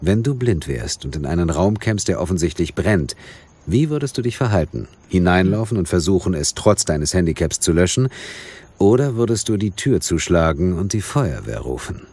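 Stubborn cop in an audio drama, really low husky voice, dry and argumentative. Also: male voice, very animated, normal speed, warm tone, full character voice. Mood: dry, insistent. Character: stubborn, sardonic male police detective. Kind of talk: German audio drama acting.

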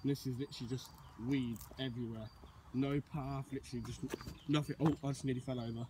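A man's voice: mid-range voice, steady tone, fast, noisy background. A young man rambles casually as he explores overgrown woods, filmed on a handheld phone outdoors. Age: young adult. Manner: rambling, says "literally" a lot. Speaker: urban explorer vlogger. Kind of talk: casual walking vlog. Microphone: handheld phone camera.

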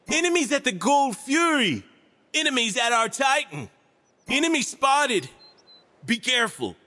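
A mellow hippie wizard from a video game, high for a male voice and swinging widely in pitch. He drawls slowly like a spaced-out surfer dude, with long reverb trailing the words. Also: full character voice. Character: mellow hippie stoner wizard, laid-back nature lover. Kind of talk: video game character voice lines.

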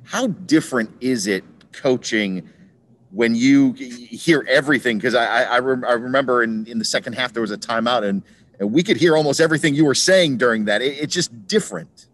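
Rambling reporter asking a coach questions. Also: male voice, medium pitch, fairly quick, fairly bright tone. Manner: rambling, repeated restarts. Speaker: sports reporter. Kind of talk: press conference questions.

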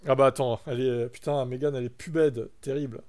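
A man's voice: mid-range voice, fairly even intonation, brisk, a little mellow. A young man from France chatting casually on a live stream, reacting to his chat and reading text aloud.

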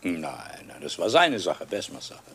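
An actor playing an agitated, defensive factory owner in a crime radio play, jumpy and repeating himself. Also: male voice, sits low, huge pitch swings, normal speed, vocal fry, fairly bright tone, husky, exaggerated voice. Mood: indignant. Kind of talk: dramatic radio play acting.

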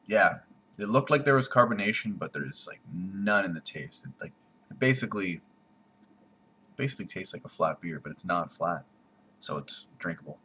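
Young dude on a webcam, lowish voice, kinda sarcastic, small room at home. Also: male voice, lively intonation, normal speed, a little hiss or hum. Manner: blunt, sarcastic, jumpy in loudness. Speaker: beer reviewer. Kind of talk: casual webcam review. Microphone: webcam at desk.

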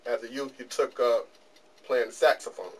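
Plainspoken middle-aged interview host. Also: male voice, medium pitch, steady tone, normal speed. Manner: plainspoken, joking, with fillers. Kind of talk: casual interview. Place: small office studio. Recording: distant camera mic with faint room noise.

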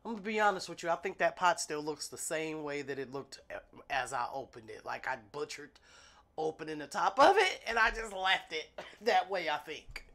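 A woman's voice: deep voice, sing-song, moderate pace, a bit bright. A woman, chatting to her viewers in a loose, self-amused way. She sounds congested and a little sniffly. She talks at her nail desk at home, close to an overhead camera. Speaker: nail artist vlogger. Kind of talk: casual unboxing chat.

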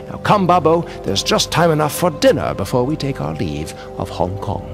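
A man's voice: fairly high pitch, huge pitch swings, normal speed, creaky, exaggerated voice. A man playing a refined, scheming crime lord in a comic audio drama, suave, grandiose and menacing. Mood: suave menace. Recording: music under the voice.

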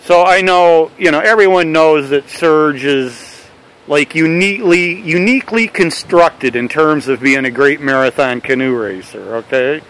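A man interviewing a canoe racer, building his questions slowly and rambling a little. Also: fairly high pitch, a bit bright, low-quality recording.

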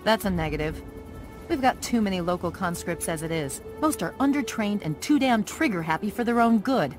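An actress playing a no-nonsense young woman squad commander in a video game, brusque and authoritative with military briskness. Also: medium pitch, lively intonation, close to an everyday voice. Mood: brisk, firm. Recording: game audio with music underneath.

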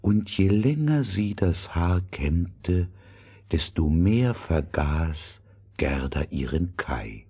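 A man with a husky, breathy voice narrating a scripted fairy tale. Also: deep voice, sing-song, slow, warm tone.

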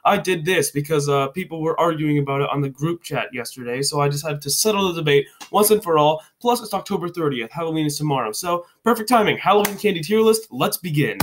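A man's voice: fairly high pitch, lively intonation, fast, hardly any pauses, a bit bright. A congested young man giving a joking, rambling rundown of candy rankings into his webcam at home. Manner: joking, rambling, self-correcting. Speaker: vlogger. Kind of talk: casual ranking commentary.

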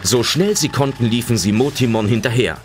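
A man's voice: medium pitch, brisk, over music, lightly acted. A man narrating a German children's audio drama as a dramatic scripted storyteller.

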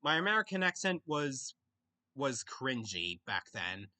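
A young man with an Australian accent, chatting casually on a webcam in his bedroom as he answers viewer questions, often pausing and restarting to think. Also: medium pitch, sing-song, pushed and bright. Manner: halting, with frequent restarts and pauses to think. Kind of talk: casual Q&A chat. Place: small bedroom, indoors.

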